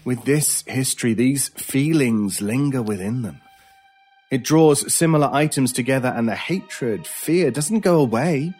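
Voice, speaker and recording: A man acting a mysterious, intense stranger in an old-time radio horror drama, speaking deliberately with theatrical pauses. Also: medium pitch, expressive, darkish tone, breathy edge. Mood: ominous, intense. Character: intense mysterious male stranger, brooding paranormal expert. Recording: music under the voice. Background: music.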